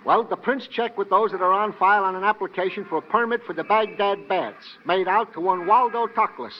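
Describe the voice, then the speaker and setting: An actor playing a chatty, eager police sergeant sidekick in an old-time radio crime drama, with a folksy manner. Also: male voice, sits high, brisk, close to an everyday voice. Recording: dull, noisy vintage radio recording.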